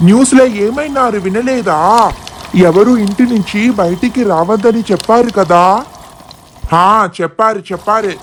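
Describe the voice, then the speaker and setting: An excitable young boy from a family cartoon , acted out by a performer. He speaks in exclamations at a middling pitch, calling out to the grown-ups. There is some noise behind the voice. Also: normal speed, natural voice. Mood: alarmed, urging. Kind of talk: animated children's story dialogue.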